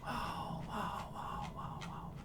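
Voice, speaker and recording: Soft-spoken man hosting spiritual radio. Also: slow. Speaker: radio show host. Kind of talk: casual spiritual radio talk.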